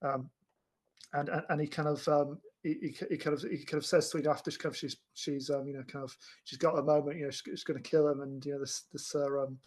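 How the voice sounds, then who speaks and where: Halting man with a slightly high voice. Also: little pitch movement. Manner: halting, rambling delivery with repeated starts. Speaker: film podcast co-host. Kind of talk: casual film discussion.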